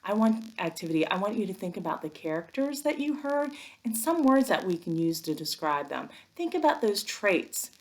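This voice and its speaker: A woman working as a first-grade teacher, giving an expressive, instructive read-aloud lesson for young children. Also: mid-range voice, moderate pace.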